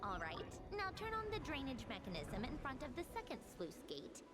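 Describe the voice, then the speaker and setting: A wistful young game heroine. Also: female voice, sits high, huge pitch swings, normal speed, loud music behind the voice. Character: calm wistful young woman in a fantasy game. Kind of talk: game voice acting.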